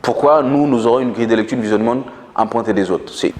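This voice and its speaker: A man's voice: medium pitch, quick pace, a little mellow, echoey room, long reverb tail. A man whose first language is Manjak, explaining ideas earnestly in an interview, with frequent hesitations. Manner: earnest lecturing tone with many hesitations. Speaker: guest.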